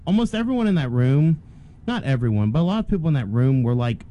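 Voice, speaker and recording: A man's voice: mid-range voice, very animated, fast, mellow. A young man from Missouri with a South Midland accent, earnestly telling a story in casual chat.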